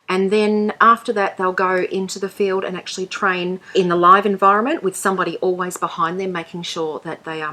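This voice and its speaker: A woman, answering interview questions as a training representative in a measured, explanatory way. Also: fairly low pitch, bright, forceful tone. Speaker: air traffic control training representative.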